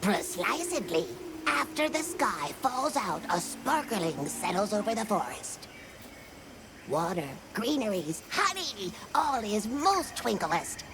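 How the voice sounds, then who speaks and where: A tiny forest creature chatters in quaint, broken phrases. The delivery is unhurried and highly animated, eager and whimsical, like a game fantasy folk. Music plays clearly behind. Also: female voice. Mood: quaint, busy. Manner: quaint broken grammar with invented words. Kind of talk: video game cutscene acting.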